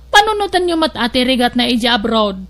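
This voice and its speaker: Woman doing a bossy sister-in-law in an audio drama, bright kinda high voice, lectures a lot. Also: big pitch swings, fast talker. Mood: scolding. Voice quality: firm, lecturing tone with pointed questions. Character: firm, scolding older sister-in-law.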